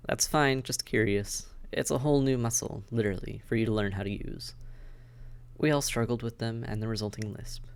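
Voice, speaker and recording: A man's voice: mid-range voice, normal speed, creaky, clean tone, lightly acted. A man acting a friendly, dry-witted vampire caseworker in a conversational audio roleplay, wry, understated and matter-of-fact. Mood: amused, reassuring. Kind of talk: conversational audio roleplay, one side of a dialogue.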